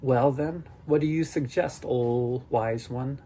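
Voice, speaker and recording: A man's voice: mid-range voice, takes it slowly, warm tone, slight background noise. A man voicing a blunt, caring but exasperated boy with a colloquial, sardonic delivery in an audiobook. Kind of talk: audiobook character dialogue.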